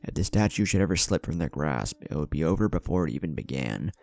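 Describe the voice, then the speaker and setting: A man's voice: rumbling low, lively intonation, quick pace, vocal fry, darkish tone, no echo, heavily acted. A man voicing an anxious, commanding male masked villain with a grim, scheming manner. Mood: worried.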